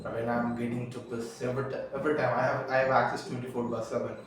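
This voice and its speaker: A young man whose first language is Tamil, telling a halting, earnest confessional story and often restarting his words. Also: medium pitch, flat delivery, slight background noise. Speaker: vlogger. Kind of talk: confessional monologue. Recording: echoey room, mic at a distance.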